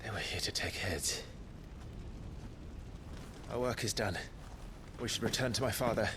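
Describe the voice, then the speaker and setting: A man's voice: lowish voice, measured pace, noisy background, lightly acted. An actor playing a dutiful, protective young nobleman warrior in a video game, earnest and grave, with music behind him. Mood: grim. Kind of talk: dramatic video game acting.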